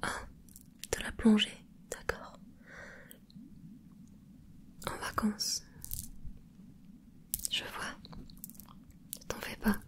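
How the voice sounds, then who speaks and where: A woman voicing a gentle, caring mermaid in an ASMR roleplay, whispering softly and reassuringly with a curious, playful touch. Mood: soothing whisper. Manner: soft whispering, slow and soothing. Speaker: ASMR creator.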